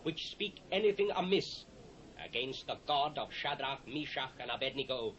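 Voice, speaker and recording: A man's voice: mid-range voice, lively intonation, moderate pace, fairly bright tone, close to an everyday voice. A British actor playing a proud, commanding king of the ancient world in a dramatised scripture reading, declamatory and imperious. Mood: solemn, decreeing. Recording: faint hiss, old cassette transfer.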